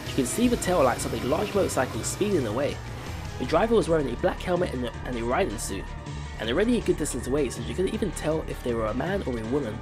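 Lively man reading game narration. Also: medium pitch, fast. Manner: reads with small stumbles and restarts. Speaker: let's play narrator. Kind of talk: reading game text aloud. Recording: game music playing behind.

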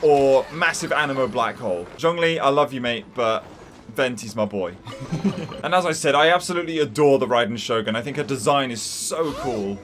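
A young man giving excitable, gushing let's play commentary as he reacts to a game. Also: medium pitch, normal speed. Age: young adult. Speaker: gaming streamer. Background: game music.

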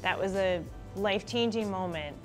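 A woman in her thirties asks warm, encouraging questions in a casual interview. She is recorded in a workshop, with music clearly audible beneath her middle-pitched voice. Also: normal speed. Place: furniture workshop.

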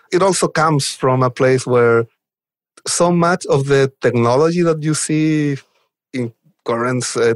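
A man's voice: mid-range voice, dry recording. A man, chatting informally and enthusiastically as an interview guest. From Chile. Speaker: podcast guest, software developer advocate. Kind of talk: conversational interview answers.

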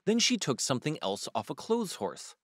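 A man reading a children's picture book aloud with expressive, varied storybook delivery. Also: fairly high pitch, normal speed, dry recording, studio quality.

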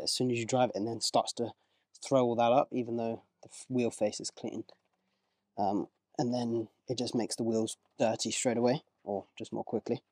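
A man of medium pitch talks through his car wash as he works outdoors, relaxed and a little rambling, often stopping to correct himself. The sound is dry and plain, and his voice drifts nearer and farther from the camera. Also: no room sound. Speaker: car rental host. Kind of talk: casual vlog narration while working.

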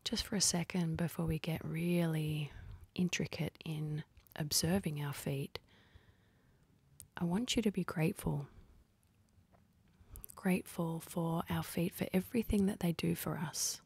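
A woman leading a guided meditation in a soft, husky, breathy voice, speaking calmly and gently with unhurried pauses. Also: low voice, no echo. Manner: calm, gentle, unhurried instructing with long pauses.

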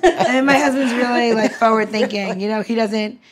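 A woman's voice: fairly high pitch, normal speed, a loud crowd. A woman chatting casually as a co-host, blunt and heated, with very colloquial phrasing. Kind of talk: casual chat.

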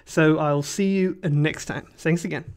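A man hosting his channel, giving a relaxed, casual update over a screen recording, talking with many fillers and restarts. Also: fairly high pitch, a little slow. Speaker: channel host.

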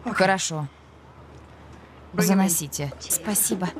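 A woman's voice: fairly low pitch, slow, background noise. A woman voicing a brusque, sarcastic working-class character in a Russian dub of a TV comedy-drama. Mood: wary, irritated. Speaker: dubbing actress.

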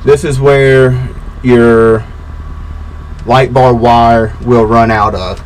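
A man's voice: medium pitch, extremely slow, slight background noise, poor audio. A man in his thirties casually walking through a boat wiring job step by step, with plenty of fillers, filmed on a close action camera outdoors. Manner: relaxed, explaining step by step with fillers. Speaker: boat DIY vlogger. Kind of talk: casual how-to walkthrough.

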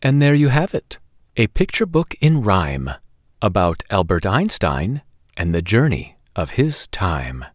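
A man in his forties hosting a children's storytime, reading aloud in an expressive, deliberate, sing-song way. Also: fairly low pitch, no echo, clean studio sound. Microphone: lapel microphone.

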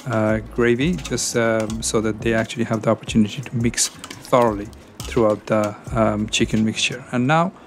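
A man's voice: lowish voice, fairly even intonation, moderate pace. Hesitant man narrating a cooking video. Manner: hesitant, many fillers. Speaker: cooking channel host. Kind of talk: casual cooking voice-over.

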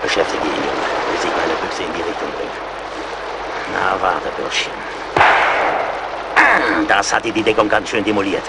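An actor in a German radio play as a seasoned, relentless frontier scout, sardonic, terse and loyal. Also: male voice, low-pitched, moderate pace, pauses often. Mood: commanding, combative. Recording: clear battle and outdoor noise behind.

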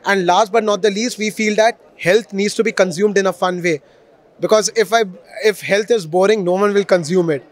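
A man's voice: high voice, steady tone, moderate pace. A man in his thirties with an Indian accent, fluently explaining products in business jargon as a marketing manager in an interview. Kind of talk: promotional interview answers. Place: exhibition stall indoors. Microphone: handheld reporter microphone held at chest.

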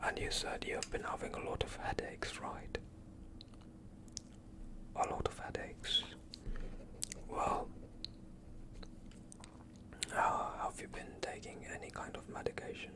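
Slow whispering young man, ASMR. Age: young adult. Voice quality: breathy unvoiced whisper. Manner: calm, soothing, unhurried questioning. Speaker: ASMR performer playing a doctor. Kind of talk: whispered ASMR medical roleplay.

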